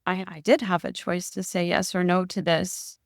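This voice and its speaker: A middle-aged woman speaking as a podcast guest, candid and emotional, pausing and correcting herself as she thinks aloud. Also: lowish voice, fairly even intonation, no echo, studio quality. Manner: thoughtful, candid and emotional, with pauses and self-corrections. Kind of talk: reflective interview conversation.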